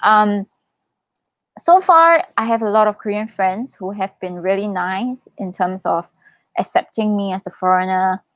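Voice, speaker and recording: A young woman hosts a casual livestream, reading questions and answering them in a chatty, expressive way. Her voice sits at a middle pitch for a woman, in a dry, close sound with little room echo. She gives advice in a reassuring, informal manner. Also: a little slow. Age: young adult. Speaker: livestream host, international student in Korea.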